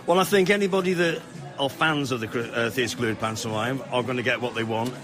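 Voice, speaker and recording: A man's voice: mid-range voice, brisk, fairly bright tone, faint music behind. A man interviewing as a radio host in an enthusiastic, casual, effusive way. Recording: crowd chatter behind.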